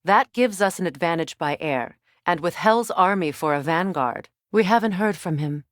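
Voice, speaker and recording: A woman playing a regal, guarded warrior goddess in a video game, speaking in a measured, solemn, dry-witted way. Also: on the low side, lively intonation, no room sound, natural voice. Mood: resolute, commanding. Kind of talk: dramatic video game voice acting.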